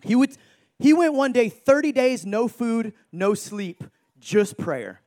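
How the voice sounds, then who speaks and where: A man in his thirties preaching a sermon with energy, joking with the crowd and shouting for emphasis. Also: extremely high pitch, very animated, takes it slowly. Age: 30s.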